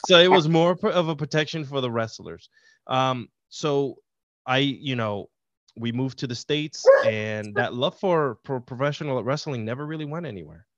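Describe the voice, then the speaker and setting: A middle-aged man from Puerto Rico, a podcast guest telling stories in a relaxed, rambling way over a video call. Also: medium pitch, moderate pace, no echo. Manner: easygoing, rambling storyteller. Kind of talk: casual interview chat. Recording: dull, dry video-call audio.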